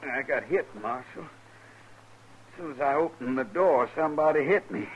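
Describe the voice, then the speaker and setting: An actor playing a folksy frontier cowhand in a 1950s radio western. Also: male voice, medium pitch, measured pace, muffled recording, lightly acted. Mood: dazed, insistent. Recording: hissy 1950s radio recording.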